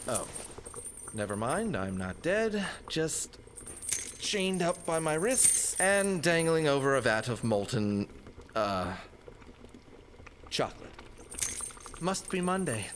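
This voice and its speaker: An actor playing a wry, weary fox adventurer with a deadpan, sarcastic delivery in an audio drama. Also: male voice, on the high side, slow, pauses often, slight background noise, exaggerated voice. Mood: groggy, wry. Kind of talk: scripted audio drama acting. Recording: loud music under the voice.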